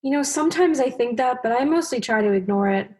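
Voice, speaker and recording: Casting: gentle female barrelfish , young actress, higher voice, casual, tentative, radio play. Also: expressive, fast. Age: young adult. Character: grateful, unassuming female barrelfish. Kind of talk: student radio play acting.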